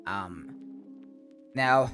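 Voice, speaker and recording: A gaming streamer with a hoarse, worn-out voice talks through a loose update for his viewers, with plenty of ums and restarts. His voice sits fairly low. Clear guitar music plays under him the whole time. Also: animated, extremely slow. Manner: rambling, with many ums and restarts. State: hoarse, has lost his voice from a cough. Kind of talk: casual channel update to viewers.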